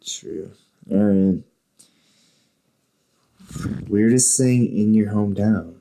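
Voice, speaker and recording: A man's voice: on the low side, slow, creaky, dark tone, slight background noise. A man hosting a podcast in casual chat from a bedroom, rambling and joking and swearing freely. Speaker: podcast host.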